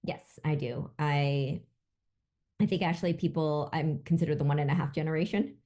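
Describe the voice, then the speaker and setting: A woman's voice: low voice, steady tone, normal speed, dark tone. An American woman speaking as an interview guest, reflecting and explaining in a thoughtful, conversational way. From United States, born in Vietnam. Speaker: podcast guest, business founder and CEO.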